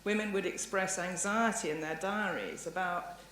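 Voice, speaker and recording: A woman's voice: on the low side, moderate pace, fairly bright tone. A woman lecturer answering audience questions in a thoughtful, discursive, hedging way. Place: indoor lecture hall. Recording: roomy lecture hall, faint background noise.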